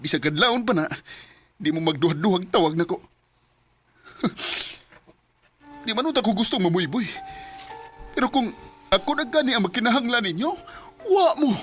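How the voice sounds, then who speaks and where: A man's voice: sits high, lively intonation, moderate pace, long pauses, a bit bright, soft background music. A man with a Cebuano accent acting in a Bisaya radio drama, playing the family's giver and narrating his story with emotional, pleading outbursts. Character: young man, family's giver, hurt and resentful.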